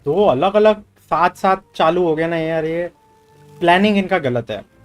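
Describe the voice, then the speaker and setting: Male streamer, Indian accent, slightly high voice, casual play-through chatter over game music. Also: lively intonation, very fast. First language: Hindi.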